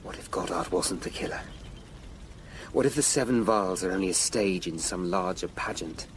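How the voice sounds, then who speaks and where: An actor playing an anxious, sharp-minded Tudor lawyer investigating crimes in a period radio drama. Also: male voice, sits low, normal speed, a little hiss or hum, natural voice. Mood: urgent, deducing.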